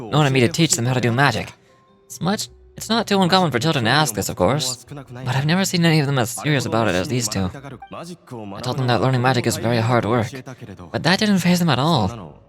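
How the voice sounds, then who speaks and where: A man's voice: medium pitch, fairly bright tone, natural voice. Man voicing a kindly, reflective stage magician. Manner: reflective, occasionally stumbling over the script. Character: kindly, modest male stage magician writing in his diary. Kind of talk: character reading of a game diary.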